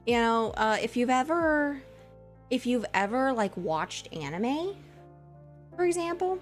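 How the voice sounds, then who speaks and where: A woman's voice: very animated, measured pace, faint music behind. A woman in her twenties chatting casually as a VTuber streamer, in a lighter, put-on feminine voice, rambling with fillers and giggles. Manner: puts on a lighter, feminized voice above her own low one. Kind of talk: casual live-stream chat with viewers.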